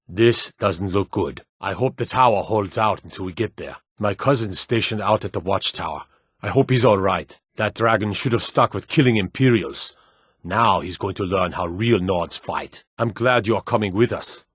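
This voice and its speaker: A man's voice: lowish voice, husky, no echo, studio quality. A man playing an earnest, superstitious Nord town guard and soldier in a fantasy video game, speaking in a plain, hardy way. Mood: urgent, alarmed, then earnest, resolute.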